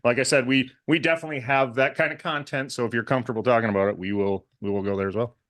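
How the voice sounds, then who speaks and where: A man's voice: medium pitch, steady tone, very fast, a bit bright, dry recording, clean studio sound. A man hosting a podcast with casual banter and interview questions. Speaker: podcast host.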